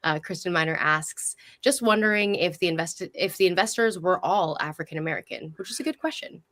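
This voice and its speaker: Female host, slightly low-pitched, effusive and chatty, casual event-hosting style. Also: brisk, fairly bright tone. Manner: effusive, enthusiastic. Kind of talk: casual, conversational hosting of an online history talk.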